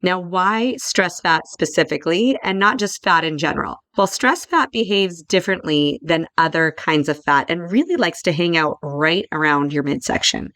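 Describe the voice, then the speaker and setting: A woman hosting a solo nutrition podcast, her voice croaky and hoarse from laryngitis, talking in an upbeat, conversational way and often tagging lines with "right?". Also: lowish voice, animated, moderate pace, few pauses, a bit bright, dry recording. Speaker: podcast host, holistic nutrition consultant.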